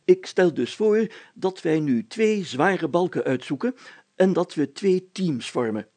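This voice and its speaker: A man's voice: a little high, expressive, unhurried, dark tone. A man voicing a pompous, bossy village mayor in a storybook, grandly declaiming like a self-styled hero. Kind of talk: storybook character voice.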